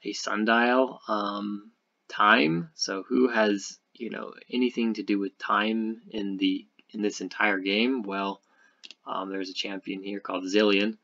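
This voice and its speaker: A man's voice: fairly low pitch, little pitch movement, a little slow, gravelly, sharp tone, highs cut off. A man giving casual, speculative game commentary over a screen share, rambling and thinking aloud. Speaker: gaming video creator.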